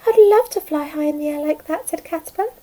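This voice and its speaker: A woman's voice: sits high, quick pace, warm tone, pitched above the performer's own voice, lightly acted. A woman voicing a wistful, hungry little male caterpillar in a children's storybook. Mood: longing. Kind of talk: children's storybook read aloud.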